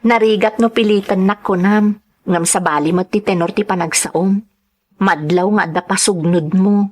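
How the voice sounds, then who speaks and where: A woman's voice: mid-range voice, fairly even intonation, normal speed, lightly acted. A young woman playing an earnest daughter who tells her life story in an Ilocano radio-style drama, in a conversational manner. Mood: hesitant, teasing.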